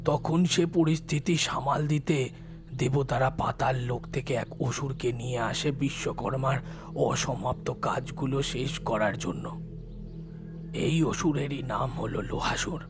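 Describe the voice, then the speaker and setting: A man playing a solemn, compassionate tantric telling a horror audio story in a slow, hushed, deliberate way. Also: mid-range voice. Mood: grave, explanatory. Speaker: narrating lead character.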